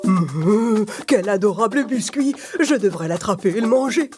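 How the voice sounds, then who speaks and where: A woman's voice: medium pitch, animated, measured pace, a little mellow, faint music behind, lightly acted. A woman voicing a hungry, greedy cow who coos at its prey in a children's cartoon. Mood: hungry, eager. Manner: cooing, greedy. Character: hungry cow cooing over edible prey.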